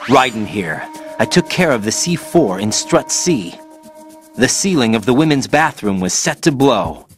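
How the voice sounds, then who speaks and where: A man's voice: medium pitch, sing-song, measured pace, faint music behind. Earnest young male soldier. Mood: earnest, puzzled. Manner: earnest, inquisitive. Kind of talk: dramatic game voice acting.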